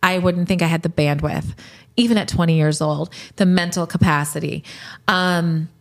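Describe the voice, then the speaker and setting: A woman in her late forties hosts her own podcast and answers rapid-fire questions. Her voice sits on the low side. She speaks candidly and reflectively, and she laughs easily. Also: fairly even intonation, gravelly. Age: 40s. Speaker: podcast host.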